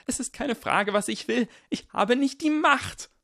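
Audiobook role: earnest, burdened boy with a strained, expressive voice, played by a man. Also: medium pitch, normal speed, no echo, studio quality, pitched above the performer's own voice, natural voice. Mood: desperate. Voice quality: strained, sometimes hoarse. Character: anguished, burdened young boy hero, earnest and guilt-ridden.

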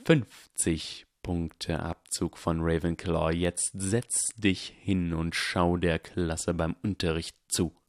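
An icy, contemptuous male teacher drawls every word slowly with open scorn, as a man reading a story puts him on. The voice sits deep and low. It is recorded close and dry, with no room sound. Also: little pitch movement, no room sound. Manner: slow, icy and sneering.

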